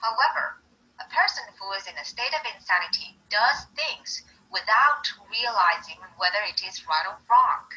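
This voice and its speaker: A woman playing a calm male defense lawyer, speaking in a lowered, steady, formal way. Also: rough recording. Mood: arguing.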